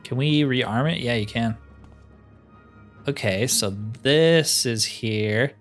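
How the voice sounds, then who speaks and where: A man's voice: medium pitch, slow, fairly bright tone. A man giving casual, wry video game commentary, thinking aloud as he plays. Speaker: gaming video host. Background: game music.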